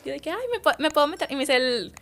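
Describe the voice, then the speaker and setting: A woman, a guest on an interview podcast, telling work stories in a lively, chatty way. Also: sits high, fast talker. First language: Spanish. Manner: lively storytelling, quotes others' words. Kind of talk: casual interview chat.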